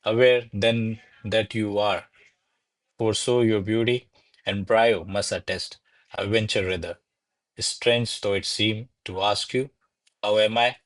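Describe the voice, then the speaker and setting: An actor playing courtly men of a classical comedy in a radio play, with formal, florid theatrical delivery. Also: male voice, fairly low pitch, steady tone, slow, dry recording, low-quality recording. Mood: pompous, ardent. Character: courtly servants and grandiloquent noble suitor of classical Spanish comedy. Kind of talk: stage-style radio play acting.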